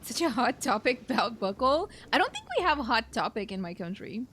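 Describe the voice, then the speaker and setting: High, bright, giggly woman gamer. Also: very animated, a little fast, a little hiss or hum. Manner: giggly, exclamatory, informal. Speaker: gaming streamer playing a story quest. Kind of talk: casual let's play reaction commentary.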